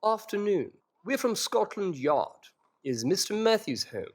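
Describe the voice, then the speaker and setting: An actor playing a formal, put-upon Victorian police inspector in an audio drama, official and courteous. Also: male voice, sits high, sing-song, measured pace, heavily acted. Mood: businesslike.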